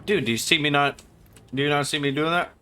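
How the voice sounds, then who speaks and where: A man's voice: fairly high pitch, fast, sharp tone, a little hiss or hum. A man livestreaming a video game with casual, sweary, slangy chat and reactions. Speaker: livestream gamer host.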